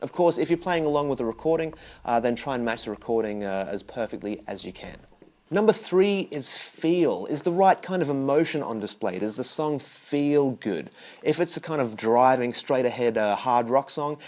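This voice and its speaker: Man chatting through a bass lesson. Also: expressive, moderate pace, darkish tone. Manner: chatty, explaining, asks the viewer questions. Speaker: bass teacher. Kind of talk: direct-to-camera instructional talk.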